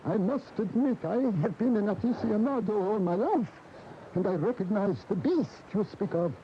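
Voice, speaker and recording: An actor playing a devout, solicitous priest who loves bullfighting, speaking with reverent deliberation in a radio drama. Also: male voice, high voice, animated, moderate pace. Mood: concerned. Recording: dull, noisy 1980 AM radio recording.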